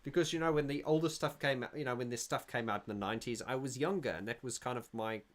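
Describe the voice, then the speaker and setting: A man's voice: mid-range voice, quick pace. A middle-aged man from South Africa hosting a casual livestream, reading viewer comments aloud and rambling with frequent restarts. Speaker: livestream host.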